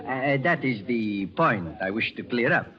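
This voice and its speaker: A man's voice: mid-range voice, animated, measured pace, lightly acted. An actor playing a small, nervous, obsequious man with a Spanish accent, fussy and over-polite, in 1940s radio drama style. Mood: apologetic, ingratiating. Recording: muffled old radio broadcast with music.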